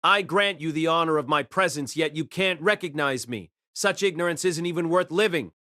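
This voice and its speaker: A man's voice: on the high side, normal speed, no echo, clean studio sound. An actor playing an arrogant, contemptuous king who scorns everyone around him, in an audio drama. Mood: scornful. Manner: scornful, imperious, shouting insults.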